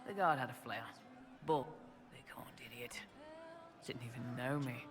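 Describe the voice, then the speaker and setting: A man's voice: medium pitch, unhurried, noisy background, natural voice. An actor playing a blunt, loyal male mercenary lieutenant in a fantasy video game cutscene. He talks in plain, no-nonsense soldier language.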